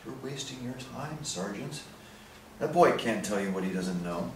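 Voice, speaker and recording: An actor playing a combative, indignant lawyer with a scornful manner in a stage play reading. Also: male voice, mid-range voice, animated, moderate pace, natural voice. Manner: forceful, scornful. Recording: roomy distant stage recording, faint noise.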